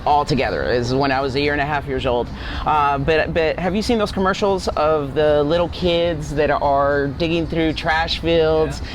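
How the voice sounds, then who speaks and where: Higher-voiced man telling his story outdoors. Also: fairly even intonation, normal speed. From Guatemala. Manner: earnest, seeks agreement with tag questions. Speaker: interview guest. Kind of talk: personal storytelling in casual interview. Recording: outdoor traffic noise. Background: street traffic and vehicles.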